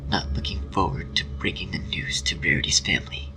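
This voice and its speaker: A man with a breathy voice reading a dramatic story as narrator. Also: deep voice, a little fast, whispered, over music, rough recording.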